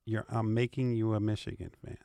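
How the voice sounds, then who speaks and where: A man's voice: lowish voice, flat delivery, brisk, vocal fry, warm tone, clean tone, no echo. A man co-hosting a sports talk show in a casual, bantering style. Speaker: sports radio co-host.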